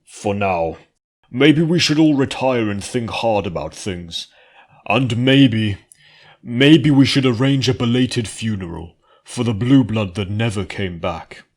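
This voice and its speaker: A man's voice: lowish voice, lively intonation, slow pace, darkish tone. A man voicing a proud, formal pony noble who speaks in an emphatic, declamatory way in a fantasy story. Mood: contrite.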